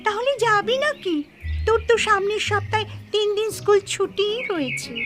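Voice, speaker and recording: A woman's voice: very high voice, moderate pace. A woman voicing a bustling, chatty mother in a cartoon, explaining and arranging things in a motherly way. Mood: chatty, practical. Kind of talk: cartoon voice acting. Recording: loud music bed under voice.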